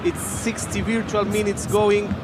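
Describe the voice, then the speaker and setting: Man, Argentine Spanish accent, friendly co-commentator, repeats phrases, high voice, noisy venue. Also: flat delivery, measured pace. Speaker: esports football co-commentator. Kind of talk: color commentary. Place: live event venue. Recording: loud noise behind the voice.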